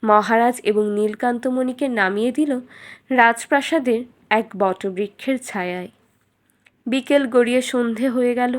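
A woman's voice: a little high, normal speed. A woman reading scripted narration as the storyteller of a children's fairytale.

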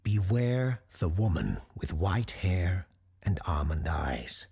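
Husky, low-voiced, eerie male storyteller. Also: expressive, darkish tone, heavily acted. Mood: ominous warning. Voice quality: husky, gravelly. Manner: measured, ominous, deliberate, with dramatic pauses. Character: eerie, learned male radio host of supernatural tales. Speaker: paranormal advice show host. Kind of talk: scripted dramatic storytelling.

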